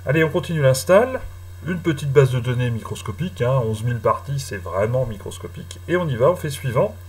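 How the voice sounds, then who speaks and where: A man giving a casual screen-recorded software tutorial, talking slowly with many hesitant fillers. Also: medium pitch, animated. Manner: hesitant, many "euh" fillers, chatty and apologetic. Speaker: tutorial host.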